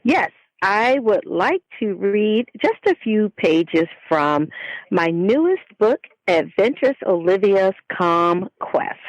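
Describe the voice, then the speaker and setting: Woman author with lively intonation. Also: medium pitch, takes it slowly, dry recording, muffled recording. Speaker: children's author being interviewed. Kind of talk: conversational interview answers.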